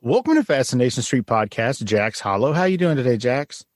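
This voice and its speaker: A man's voice: mid-range voice, expressive, fairly quick, no room sound, clean studio sound. A man from Texas hosting a casual podcast interview, jokey and self-deprecating. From San Antonio, Texas. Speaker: podcast host.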